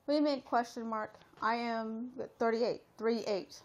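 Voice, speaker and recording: A woman's voice: on the high side, fairly even intonation, moderate pace, a little mellow. A woman in her late thirties chatting casually on a live stream, rambling and talking straight to her viewers with lots of fillers. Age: 30s. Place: at home.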